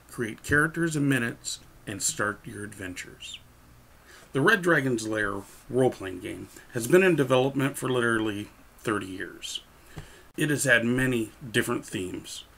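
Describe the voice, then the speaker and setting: Creaky-voiced middle-aged man making a pitch. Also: fairly bright tone. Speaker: game designer pitching a crowdfunding project. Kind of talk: direct-to-camera promotional pitch. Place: small room at home, indoors.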